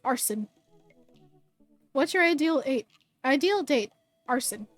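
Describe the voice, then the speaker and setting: Bubbly high-voiced woman streamer, a bit hoarse. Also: expressive, takes it slowly, no echo, faint music behind. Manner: bubbly, chatty, stumbles over words. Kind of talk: live stream, reading game text and greeting chat.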